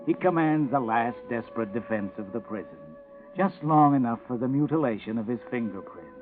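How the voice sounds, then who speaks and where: Actor as sardonic male suspense narrator, 1940s radio drama, ominous, tinny old recording, clear musical underscore. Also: mid-range voice, lively intonation, brisk, faint music behind, close to an everyday voice. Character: sardonic, ominous male storyteller addressing the villain.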